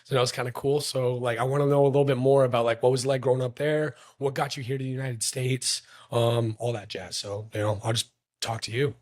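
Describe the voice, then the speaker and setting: A man's voice: medium pitch, level tone, fast, a little mellow. A young man hosting a casual interview, talking loosely with many fillers and restarts. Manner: rambling, lots of fillers and restarts. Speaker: podcast host.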